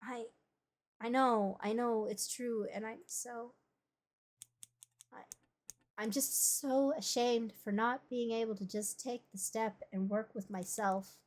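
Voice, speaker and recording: A woman's voice: on the high side, fairly even intonation, slow. A woman playing an anguished, guilt-ridden young woman, bitter and ashamed, in an emotional visual novel reading. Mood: ashamed, hesitant.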